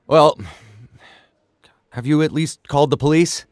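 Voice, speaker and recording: A man's voice: a little high, steady tone, a little slow, clear, resonant tone, dry recording, clean studio sound, natural voice. A man playing a bewildered, protective middle-aged father in an audio drama, stammering when flustered. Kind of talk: naturalistic audio drama acting.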